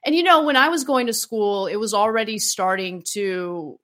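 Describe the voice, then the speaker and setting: A woman's voice: mid-range voice, normal speed, fairly bright tone. Woman host from Los Angeles, Armenian native. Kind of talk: casual chat.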